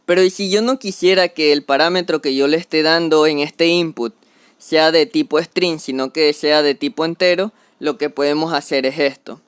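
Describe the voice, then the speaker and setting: A man's voice: on the high side, steady tone, moderate pace, clear, resonant tone, dry recording. A young man explaining a coding tutorial step by step, talking through each action as he does it on screen. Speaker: programming tutor.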